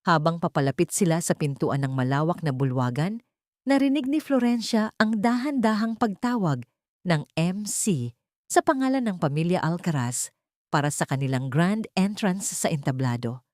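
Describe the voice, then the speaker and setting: A woman narrating a scripted romance audio drama in a measured, solemn, dramatic way. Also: on the low side, a little mellow, dry recording, clean studio sound. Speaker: story narrator.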